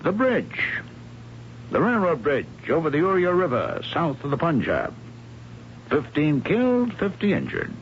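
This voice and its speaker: A muffled, hissy vintage radio drama recording of a brooding middle-aged husband haunted by failure. His gravelly voice swings dramatically up and down as he speaks in grand, rhetorical phrases. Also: a little high, wildly swooping pitch, measured pace, exaggerated voice. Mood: bitterly dramatic. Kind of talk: old-time radio drama acting.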